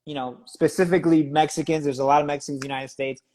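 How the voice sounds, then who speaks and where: A man's voice: on the high side, steady tone, racing, darkish tone. A man speaking informally and with slang as the interviewer in a casual chat. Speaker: interviewer, magazine editor.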